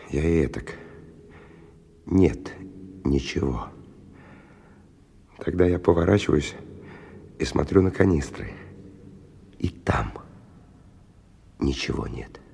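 An actor playing a weary, rough stalker who recounts a dangerous expedition in halting, hushed, intense phrases in a radio play. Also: male voice, extremely low pitch, exaggerated voice. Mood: uneasy, urgent. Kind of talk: dramatic first-person radio play narration. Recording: 1990 radio play with music underneath.

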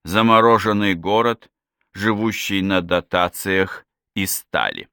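Brusque old Frenchman, low-voiced, as a male narrator reads him. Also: lively intonation, takes it slowly, pushed and bright, no echo, clean studio sound, exaggerated voice. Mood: brooding. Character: bitter, irritable old Frenchman returning to his northern hometown. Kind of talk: audiobook character reading.

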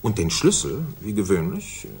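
An actor in a 1960s German radio drama playing a self-satisfied, authoritative senior government official who speaks formally and deliberately. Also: male voice, fairly low pitch, wildly swooping pitch, a little mellow, breathy edge, heavily acted. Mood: matter-of-fact.

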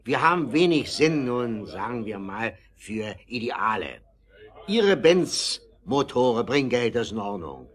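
A man's voice: mid-range voice, very animated, unhurried. Swooping, condescending businessman. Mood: dismissive, patronizing. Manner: condescending, emphatic. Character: dismissive, cautious, money-minded male business partner. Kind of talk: German audio drama acting.

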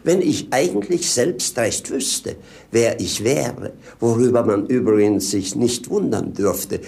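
A rambling fool showman, 1950s radio play, creaky and swoopy, very theatrical. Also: medium pitch, lively intonation, slow, a little mellow. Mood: grandiose patter.

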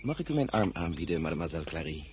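Deep, gallant young suitor. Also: male voice, big pitch swings, rapid-fire, darkish tone, slight background noise. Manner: courteous, ingratiating. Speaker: actor in a radio drama. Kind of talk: radio play acting.

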